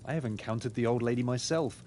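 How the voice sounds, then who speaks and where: A man voicing an earnest pig detective in a game, reasoning through deductions in a formal, deliberate way. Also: mid-range voice, mellow, a little hiss or hum, close to an everyday voice. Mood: earnest, deductive. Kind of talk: scripted game dialogue.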